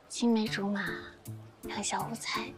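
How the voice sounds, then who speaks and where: A young actress playing a lively, scheming peasant girl in a period drama, playful and coy in her teasing. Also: mid-range voice, measured pace, close to an everyday voice. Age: young adult. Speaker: lead actress. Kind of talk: television period drama acting. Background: music score.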